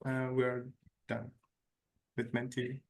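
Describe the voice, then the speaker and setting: A man's voice: medium pitch, monotone, takes it slowly. A man on a video call reading out online poll results in a workshop, with many fillers and restarts. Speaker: workshop co-facilitator.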